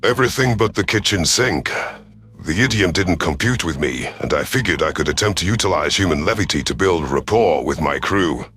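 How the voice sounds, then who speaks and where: Deep-voiced man narrating military science fiction. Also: animated, a bit bright. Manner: formal, measured, stilted wording. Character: stern alien reptilian military commander narrating. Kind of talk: scripted first-person story narration.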